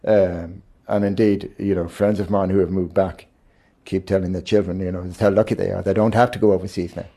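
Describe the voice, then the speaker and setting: Irish male bank executive, 50s, gravelly, measured interview answers. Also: sits low, flat delivery, dark tone. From Ireland. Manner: measured, restarts phrases while thinking. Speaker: bank managing director. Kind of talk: interview conversation.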